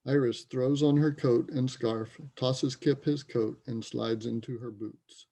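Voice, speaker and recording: A man's voice: mid-range voice, fairly even intonation, a little slow, gravelly, mellow. A man reading scripted stage directions as the narrator of a reader's theater play.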